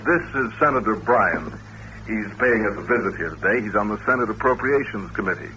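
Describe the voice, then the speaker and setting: An actor playing a courteous, patient doctor who runs an institution, explaining things politely and with measure in an old-time radio drama. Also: male voice, fairly low pitch, expressive, brisk, sharp tone. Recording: dull old radio recording, faint hiss.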